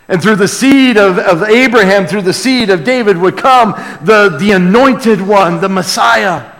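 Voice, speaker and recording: A male pastor teaches from the pulpit in a fairly high voice, with long reverb trailing in a big hall. He speaks conversationally but earnestly, breaking into exclamations and repeating phrases to press them home. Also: a little slow, a bit bright. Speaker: pastor preaching to a congregation. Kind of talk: sermon, conversational Bible teaching with call and response.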